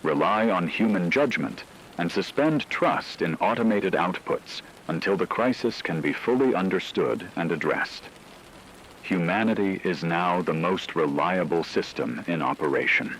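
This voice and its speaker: Guy playing a solemn radio anchor, low voice, formal emergency news read, faint noisy hiss behind. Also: animated, measured pace, fairly bright tone, heavily acted. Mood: grave, measured. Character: grave male radio news anchor reporting an AI catastrophe. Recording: radio-style broadcast with faint hiss, rain-like noise.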